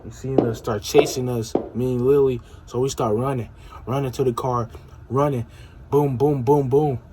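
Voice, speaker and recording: A man's voice: medium pitch, fairly even intonation, normal speed. Stuttering young man, noisy recording. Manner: stuttering, repeats himself. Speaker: storyteller. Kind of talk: casual animated storytelling.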